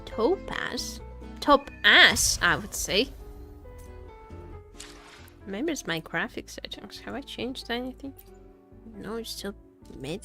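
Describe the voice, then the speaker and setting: A woman's voice: on the high side, animated, slow pace, long pauses, pushed and bright. Playful woman livestreaming over game music. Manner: playful, teasing, rambling, repeats phrases. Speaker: VTuber streamer. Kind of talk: casual livestream game chat and reactions. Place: indoor home streaming setup.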